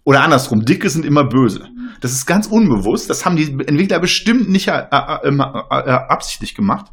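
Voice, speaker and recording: A man's voice: fairly high pitch, lively intonation, fairly quick, a bit bright. A man who stutters, speaking in a casual panel discussion, with many fillers and restarts.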